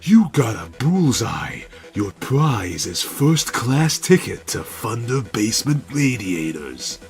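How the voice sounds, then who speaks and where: A swaggering action-hero cat as a man acts him out in a dramatic cartoon reading. He delivers stilted quips with smug confidence. The voice is gravelly and swings widely in pitch, with faint music behind. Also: big pitch swings. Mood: mocking. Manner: smug, deliberate, stilted one-liners. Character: cocky, quipping male tough-guy action-hero cat.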